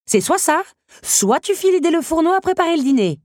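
A woman voicing a brisk, firm, motherly teapot in an audiobook story. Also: extremely high pitch, very animated, darkish tone, no echo, clean studio sound, voice pushed up. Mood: scolding. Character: brisk motherly female teapot, scolding yet polite.

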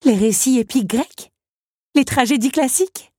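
A woman's voice: high-pitched, moderate pace, a little mellow, breathy edge, dry recording, studio quality, pitched above the performer's own voice. A woman voicing an eager, bookish young woman in a storybook reading. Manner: eager, enthusiastic.

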